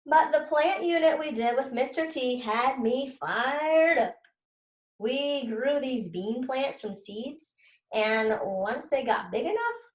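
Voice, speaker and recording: A mischievous, cocky schoolboy tells his story as read by a woman in a boastful, informal way. The pitch is on the high side, and the room is very echoey. It has the feel of a children's book read aloud. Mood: enthusiastic. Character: cocky troublemaking schoolboy narrator, mischievous and dismissive.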